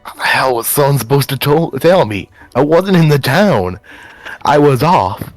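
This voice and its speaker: A man's voice: medium pitch, lively intonation, normal speed, no echo, faint music behind, natural voice. A bitter, agitated young man acted out by a man in an improvised game roleplay. His mid-range voice trips over repeated words, sarcastic and accusing. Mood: angry, accusing. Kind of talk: improvised in-character roleplay dialogue.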